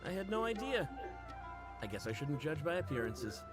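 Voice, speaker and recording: A man's voice: on the high side, big pitch swings, normal speed. A man playing a genial, slightly sheepish mercenary in an anime-style video game, talkative and mild in manner. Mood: wry, sheepish. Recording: game audio with music behind.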